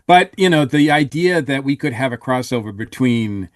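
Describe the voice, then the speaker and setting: Male panelist for casual review chat, halting delivery, mid pitch, dry recording. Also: animated, no room sound. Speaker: podcast panelist.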